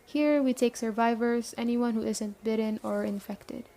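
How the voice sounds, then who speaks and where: A woman playing a stern but caring survivor camp leader in an ASMR roleplay, speaking briskly and matter-of-factly straight to the listener. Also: on the high side, steady tone, warm tone, lightly acted. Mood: stern, authoritative. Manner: brisk, matter-of-fact, addressing the listener directly.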